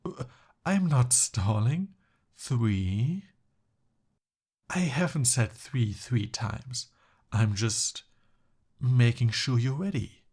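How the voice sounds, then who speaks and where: A man acts out a pompous young prince in an ASMR audio drama. His mid-pitched voice is a little husky and takes its time. He speaks in lofty, formal phrases, yet comes across flustered and pleading. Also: animated, very slow, long pauses, darkish tone, heavily acted. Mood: flustered bluster. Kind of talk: ASMR roleplay voice acting.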